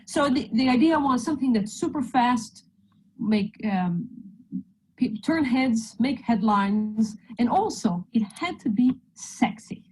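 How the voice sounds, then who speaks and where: A woman's voice: a little high, lively intonation, slow pace, a little mellow, husky, poor audio. Swedish-accented woman presenting over video call. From Sweden. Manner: friendly, joking, slides through a talk. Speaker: guest presenter. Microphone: video call microphone.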